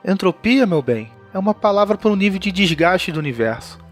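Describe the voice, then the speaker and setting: A man's voice: high-pitched, lively intonation, a little fast, faint music behind. A man playing a reassuring father from the future in an audio drama. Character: reassuring futuristic father explaining to his children.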